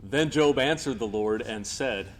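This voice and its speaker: A man's voice: mid-range voice, a little slow. A man narrating scripture as a scripted storyteller in a drama. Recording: reverberant hall sound with music behind. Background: clear instrumental music.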